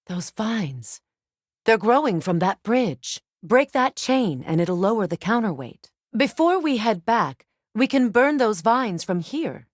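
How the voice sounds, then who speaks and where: A woman playing a solemn, compassionate, determined ancient goddess in a video game, speaking in a measured, formal, earnest way. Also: medium pitch, dry recording, clean studio sound. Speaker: video game character voice actor. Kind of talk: dramatic game dialogue.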